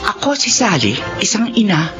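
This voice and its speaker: A woman's voice: mid-range voice, very animated, moderate pace, lightly acted. An actress playing a grateful middle-aged mother, narrating her life story in a heartfelt radio drama. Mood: reflective, grateful. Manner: heartfelt, reflective. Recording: dull audio under loud music.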